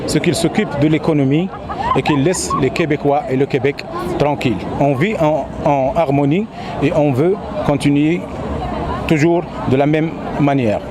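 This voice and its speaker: Exhorting man, fairly high, noisy street. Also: normal speed. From Maghreb. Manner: exhorting, direct. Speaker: demonstrator interviewed at a march. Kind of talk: rallying street interview statement. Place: outdoors in a city street at a demonstration. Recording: noisy outdoor crowd and traffic.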